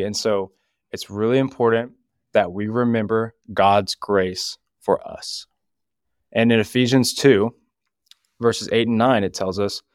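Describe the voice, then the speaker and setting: A man speaking earnestly and deliberately on a podcast, with a low voice. He leans on his words and slows down for scripture. The recording is close and dry. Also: no echo. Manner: jokey, then earnest and deliberate. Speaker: youth ministry leader, podcast guest. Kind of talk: casual podcast chat with scripture reading.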